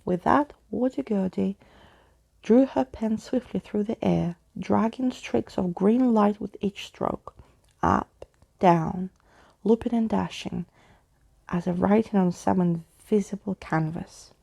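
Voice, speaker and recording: A woman reading a story aloud in a soft-spoken ASMR style, as a storyteller. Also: medium pitch, slow pace, creaky, husky, lo-fi sound.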